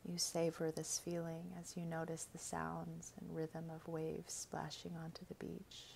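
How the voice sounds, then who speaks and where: Soft soothing woman doing a guided relaxation script, lots of vocal fry, bit of low rumble behind. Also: completely flat delivery. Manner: gentle, soothing, measured delivery with pauses. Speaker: guided meditation narrator. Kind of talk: scripted guided imagery meditation.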